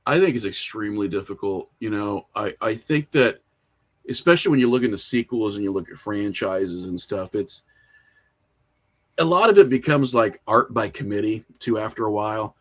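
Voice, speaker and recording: A man's voice: fairly low pitch, moderate pace. Man chatting casually in an interview. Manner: animated explaining, frequent "you know" and "like", informal slang. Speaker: podcast guest, horror filmmaker.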